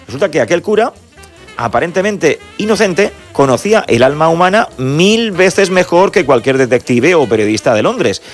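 Guy hosting a book show on the radio, mid pitch, faint music. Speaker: radio host. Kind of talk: radio book interview and literary segments.

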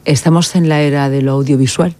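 A woman's voice: low-pitched, steady tone, a little fast, warm tone. A middle-aged woman interviewing a guest on radio, asking questions with many fillers and tag questions at a studio microphone. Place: studio at a narrow table with a chroma backdrop. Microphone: table microphone.

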